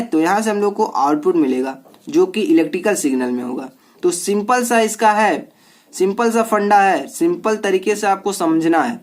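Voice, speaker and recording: Male teacher, fairly high voice, informal explanatory delivery, repeats points and checks in often. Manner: repetitive step-by-step explaining with frequent check-in tags. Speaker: electronics teacher.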